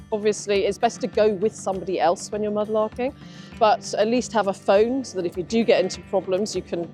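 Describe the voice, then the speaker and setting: A woman's voice: mid-range voice, dark tone. A woman chatting casually and enthusiastically outdoors on a river foreshore, as a guide to her hobby. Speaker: mudlarker and artist, guest guide.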